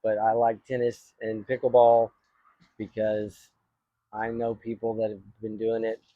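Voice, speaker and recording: Man in his fifties from Texas, a coach; low, mellow and relaxed, dry room. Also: unhurried, no echo, narrow, tinny audio. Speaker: tennis coach, channel co-host. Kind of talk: casual chat.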